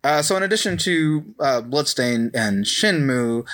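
Guy hosting a casual podcast, average pitch, kinda halting and hesitant. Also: lively intonation, a bit bright. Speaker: podcast host.

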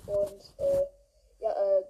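A woman's voice: medium pitch, completely flat delivery, slow pace, warm tone, a little hiss or hum. A woman hosting a podcast in a casual, rambling way with many fillers. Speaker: podcast host. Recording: dull lo-fi recording.

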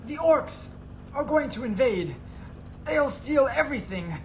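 A man's voice: very high-pitched, lively intonation, unhurried, slight background noise, pitched above the performer's own voice, exaggerated voice. A man voicing a paranoid, anxious, raging gnome inventor in a storybook, with a crazed and defiant manner. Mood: paranoid dread. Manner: crazed, defiant, shouting outbursts.

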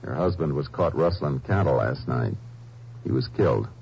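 An actor playing a steady, grim veteran lawman in a 1950s radio drama, calm and measured. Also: extremely low pitch, gravelly, breathy edge, full character voice. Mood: firm. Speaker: Texas Ranger and narrator. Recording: noisy, muffled old radio broadcast.

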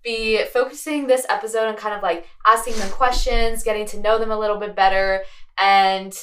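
A woman's voice: medium pitch, steady tone, moderate pace, a bit bright, echoey room, distant mic, slight background noise. A young woman from Delaware co-hosting a student podcast in a casual way, full of likes and fillers. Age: young adult. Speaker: podcast co-host. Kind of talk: casual chat.

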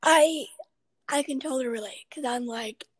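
A young woman hosting a podcast in a casual chat, with a raspy voice and a chatty, rambling way of talking. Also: high-pitched, normal speed, no room sound, studio quality. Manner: chatty, rambling, many fillers. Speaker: podcast host.

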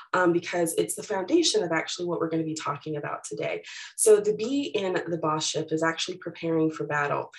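A middle-aged woman, a little raspy from allergies, giving a chatty webinar presentation with plenty of fillers. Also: fairly low pitch, low-quality recording. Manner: casual and chatty, many fillers.

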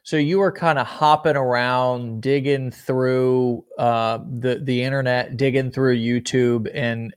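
A man hosting a casual online interview show, upbeat and energetic, often restarting and repeating words. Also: medium pitch, smooth. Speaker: host.

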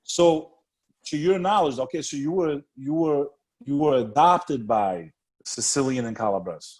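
A man's voice: medium pitch, unhurried, darkish tone. A male podcast host in conversation with a guest. He talks casually and streetwise, swears freely and circles back over his own phrases as he goes. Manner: streetwise, profane, repeats phrases. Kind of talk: casual interview.

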